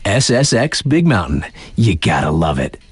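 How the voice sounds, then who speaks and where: An actor playing a laid-back, wisecracking mountain-town radio DJ in a snowboarding game, with casual patter and wry, deadpan asides. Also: male voice, low-pitched, normal speed, slightly rough, dry recording, heavily acted.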